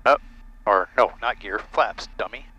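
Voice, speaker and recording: A man's voice: lowish voice, unhurried, highs cut off. A man flying a small plane, talking himself through it in short, clipped phrases with casual cockpit commentary and radio calls. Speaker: private pilot. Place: small aircraft cockpit in flight. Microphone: aviation headset. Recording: steady engine noise in cockpit. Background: aircraft engine drone.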